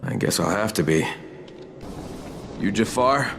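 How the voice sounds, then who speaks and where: A man's voice: lowish voice, lively intonation, measured pace, soft background music. A man playing a blunt, exasperated undercover tough guy in a video game, casual and profane in manner. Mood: impatient. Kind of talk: video game cutscene acting.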